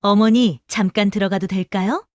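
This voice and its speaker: A woman's voice: mid-range voice, fairly even intonation, moderate pace, dry recording, clean studio sound, close to an everyday voice. A woman playing a busy middle-aged daughter-in-law in an audio drama, polite but matter-of-fact. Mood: careful, coaxing. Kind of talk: Korean audio drama acting.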